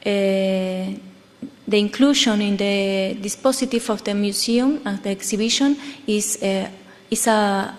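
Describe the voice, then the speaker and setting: A woman talks at a conference in a mid-range voice, very slowly and with care, stopping often to find the English. A long hall reverb trails her words. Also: mid-range voice. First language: Spanish. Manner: careful, struggling for English words. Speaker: conference speaker. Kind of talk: halting conference discussion.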